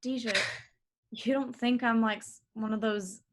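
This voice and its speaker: A woman's voice: a little high, fairly even intonation, a little slow, background noise, close to an everyday voice. A woman playing a defensive, earnest young college roommate in a staged reading, with a faltering, pleading way of talking. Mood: hurt, accusing. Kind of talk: live staged reading of a play over video call. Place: online video call staged reading.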